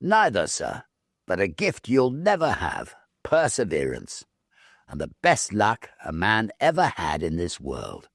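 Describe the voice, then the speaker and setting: A man's voice: on the low side, huge pitch swings, unhurried, vocal fry, dry recording, studio quality, heavily acted. A man voicing a confident, earnest young Victorian suitor in a storybook reading. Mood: smug, assured.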